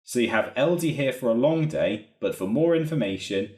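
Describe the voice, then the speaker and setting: A man narrating a software training walkthrough in a methodical, explanatory way. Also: medium pitch, animated, normal speed. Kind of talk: instructional screen-recording narration. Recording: roomy, echoey voice recording.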